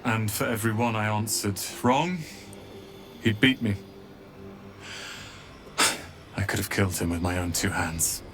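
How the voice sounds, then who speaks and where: Slow-speaking, earnest young wizard's apprentice. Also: male voice, fairly low pitch, creaky, fairly bright tone, soft background music. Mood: bitter, wounded. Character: earnest wizard's apprentice, wounded man newly freed and resolute. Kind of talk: dramatic video game acting.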